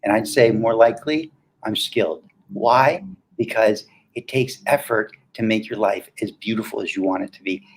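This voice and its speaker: A man's voice: on the low side, moderate pace, a little hiss or hum, rough recording. A man in his fifties speaking as a coach and interview guest, casual and motivational, often posing questions and answering them himself. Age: 50s.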